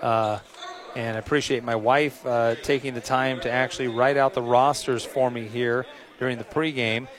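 A middle-aged American man, slightly hoarse, calling a pregame basketball broadcast in a rambling way, full of "uh" fillers and restarts. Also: medium pitch, fairly even intonation, moderate pace, crowd noise. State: a little hoarse. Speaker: play-by-play announcer. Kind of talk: pregame sports broadcast commentary. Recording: music in the background.

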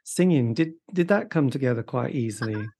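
Mellow-voiced male interviewer. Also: mid-range voice, lively intonation, brisk, breathy edge. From Britain. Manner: rambling, eager questions. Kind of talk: conversational interview.

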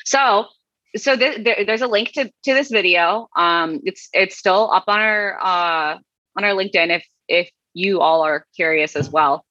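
Woman podcast co-host, bright forceful tone, wry dry delivery, slightly dull recording. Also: medium pitch, measured pace, no echo. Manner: wry, dry reading with asides. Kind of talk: casual podcast chat, reading comments aloud.

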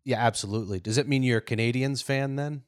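A man's voice: mid-range voice, fast, clear, resonant tone, dry recording, clean studio sound. A man from upstate New York who hosts a podcast and interviews his guest in a friendly, affirming way. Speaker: podcast host. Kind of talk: casual interview chat.

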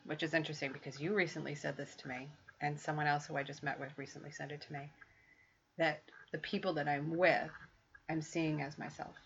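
A woman in her fifties co-hosting a casual, heartfelt talk, reflective and quick to laugh. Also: sits low, flat delivery, vocal fry, a bit bright. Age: 50s. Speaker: podcast co-host. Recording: dull lo-fi recording.

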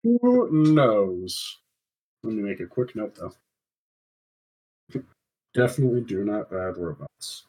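A man's voice: lowish voice, normal speed, pauses often, darkish tone, slightly rough, poor audio. A man running a tabletop game, narrating casually and informally. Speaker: game master.

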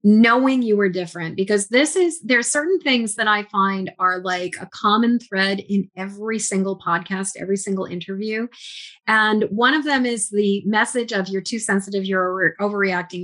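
A woman's voice: medium pitch, normal speed, fairly bright tone. A woman hosting a podcast interview, asking questions with enthusiasm and affirming her guest with frequent murmurs of agreement. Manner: enthusiastic, affirming, many mm-hmms. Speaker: podcast host. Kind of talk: casual interview conversation.

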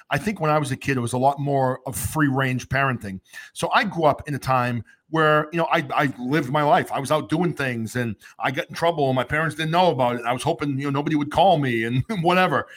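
Talk-show host, man in his fifties, upbeat and earnest, easygoing delivery. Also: mid-range voice. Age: 50s. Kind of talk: casual talk show chat.

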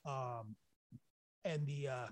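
A young man talks about his band in a loose, rambling way, swearing freely and tripping over his own restarts. His voice sits at an ordinary pitch, recorded close and dry with no room sound. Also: very slow, no room sound. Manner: laid-back, profane, rambling with restarts. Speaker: band singer, guest. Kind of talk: casual interview chat.

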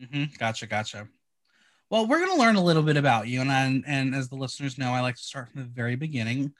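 Upbeat chatty podcast host, lively pitch. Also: male voice, fast talker. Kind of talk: casual interview. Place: home in New York.

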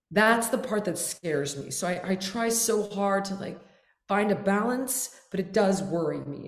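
A woman's voice: medium pitch, a little mellow, roomy sound. A woman from the United States, a fitness expert who is a guest on a casual interview, talking candidly and with animation, sometimes dropping to a whisper for quoted asides.

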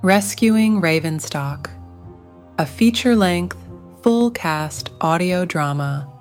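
Slow low woman announcing a trailer. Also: expressive, soft background music.

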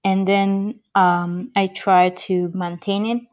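A woman in her thirties with an Italian accent, a guest on an interview podcast who answers slowly and hesitantly, with many pauses and restarts. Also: mid-range voice, flat delivery, lo-fi sound. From born in the US, grew up in Italy.

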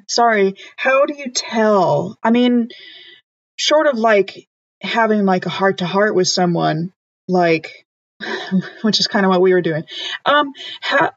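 A woman playing a tabletop game, chatting casually and thinking aloud in halting questions. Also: on the high side, no room sound, muffled recording. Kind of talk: casual improvised game chat.

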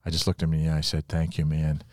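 Deep-voiced older man, chatting about faith. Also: completely flat delivery, quick pace, darkish tone, no echo, studio quality. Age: 60s or older. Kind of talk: casual religious conversation with personal testimony.

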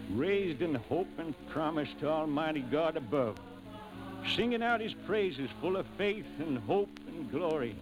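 Male narrator, slightly high pitch, spoken ballad delivery with driving rhythm over very loud music. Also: low-quality recording.

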